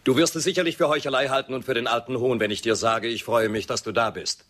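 An actor playing a suave, grandiose, mocking builder with theatrical, ironic delivery in a radio drama. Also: male voice, mid-range voice, fairly even intonation, quick pace, a bit bright, close to an everyday voice. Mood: ironic charm. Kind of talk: German radio drama acting.

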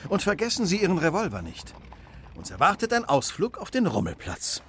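An actor playing an incisive, self-assured detective in a German radio drama, eloquent and commanding, moving between hushed and emphatic delivery. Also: male voice, sits high, wildly swooping pitch, brisk, heavy background noise. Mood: brisk, pleased.

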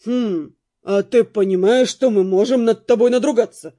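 A man's voice: high-pitched, moderate pace, mellow. An actor playing an indignant, sulky young male robber in an audiobook, with whiny, blustering complaints. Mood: threatening, frustrated.

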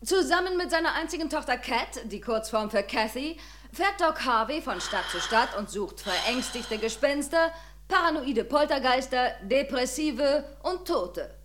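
A woman playing a brisk, showy television reporter in a German audio drama. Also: high-pitched, animated, a bit bright, slight background noise. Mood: brisk reporting. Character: sensational female TV magazine reporter, brisk and showy.

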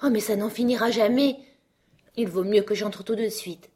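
A woman voicing an inquisitive, easily impatient young girl in a storybook, with proper, expressive phrasing. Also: medium pitch, moderate pace, close to an everyday voice. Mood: exasperated.

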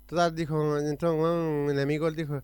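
Smooth-voiced rambling Chilean man. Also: a little high, level tone, quick pace, darkish tone, no echo. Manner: rambling, jumps between topics with repeats. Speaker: interviewee on hospital radio. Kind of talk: casual rambling storytelling.

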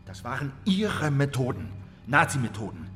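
An actor playing a stern, accusing government interrogator in a radio drama, hard, pressing and commanding. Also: male voice, medium pitch, very animated, normal speed, fairly bright tone. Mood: accusing, insistent. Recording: reverberant interrogation room.

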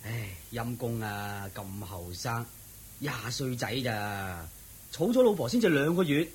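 An actor playing a harried, sympathetic neighbour in a Cantonese radio drama, confiding with sighs in a husky Hong Kong Cantonese voice. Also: male voice, medium pitch, a little slow, a little hiss or hum, natural voice. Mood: pitying, confiding. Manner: sighing, confiding, expressive. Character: harried, gossipy, sympathetic village neighbour man.

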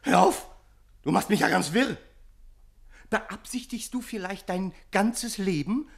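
A sensible, exasperated gentleman with a fairly high voice. He puts dry, sceptical questions in a theatrical radio-play style, concerned and sober. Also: animated, a little slow, vocal fry, heavily acted. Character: exasperated, concerned, sensible friend of a foolish man.

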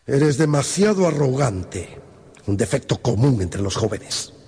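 An actor playing a haughty, imperious cardinal in a radio drama, with a raspy voice and deliberate delivery. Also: male voice, medium pitch, big pitch swings, mellow, full character voice. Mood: indignant, threatening. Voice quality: husky, raspy. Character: haughty, menacing powerful male cardinal. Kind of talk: Spanish-language radio drama acting. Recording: long hall-like reverb.

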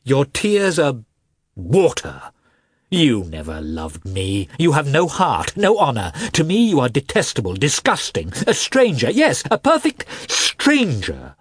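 A man reading aloud and voicing a distraught, bitter wife who shouts her accusations in a raised voice. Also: mid-range voice, big pitch swings, moderate pace, slightly rough, dry recording, pitched above the performer's own voice. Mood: anguished, furious.

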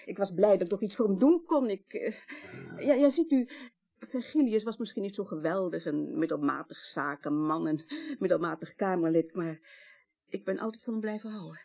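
Middle-aged bereaved lady, mid-pitched, polite but defensive and hesitant, radio play. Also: normal speed, gravelly, warm tone. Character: evasive, loyal bereaved middle-aged woman. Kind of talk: radio drama dialogue.

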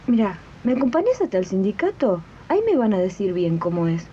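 A woman's voice: medium pitch, sing-song, fairly quick, warm tone, lo-fi sound, a little hiss or hum, natural voice. A woman acting an indignant, determined housemaid in a radio drama, with an Argentine accent and a colloquial, questioning way of talking, on a late-1980s recording. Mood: indignant, resolved. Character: indignant, determined housemaid who makes jam on the side. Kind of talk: radio drama dialogue and narration.

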